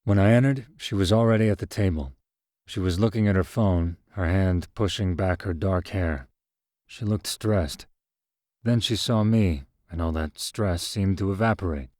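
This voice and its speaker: A man narrating a short story in first person with calm, measured delivery. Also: low-pitched, no echo, clean studio sound.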